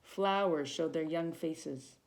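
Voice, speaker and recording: A woman with a fairly low, noticeably creaky voice reads a children's picture book aloud. She tells it expressively, leaning on the feelings in the story as a volunteer reader would for young listeners. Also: slow pace, darkish tone, rough recording. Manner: dramatic emphasis on feelings, with short asides to young listeners.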